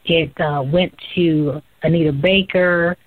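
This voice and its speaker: A woman's voice: low voice, fairly even intonation, slow pace, dry recording. A young woman from Central Florida with a light Southern twang, chatting easygoing as a radio show guest. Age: young adult. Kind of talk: casual interview chat. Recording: thin phone line, dry.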